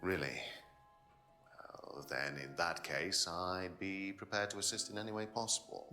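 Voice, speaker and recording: An actor playing a slimy, evasive man in a mystery game, oily, measured and hammy. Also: deep voice, steady tone, sharp tone, soft background music. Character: slimy, evasive male mansion owner, oily and guarded. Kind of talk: FMV mystery game acting.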